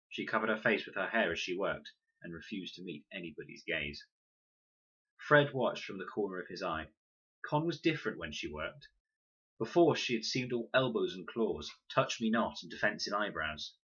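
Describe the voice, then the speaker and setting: A male reader tells a children's story with lively, varied intonation. His voice is fairly low with a forceful edge, and the recording sounds slightly dull. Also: slightly rough. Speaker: audiobook narrator, reader. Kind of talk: expressive children's story narration.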